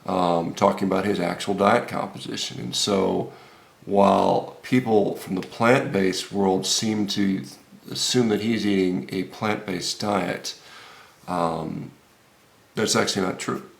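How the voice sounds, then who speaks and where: An American man in his fifties giving informal, unscripted video commentary as a health vlogger, halting often with hesitations and repeated words. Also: low voice, fairly even intonation, creaky. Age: 50s. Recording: roomy, echoey room sound.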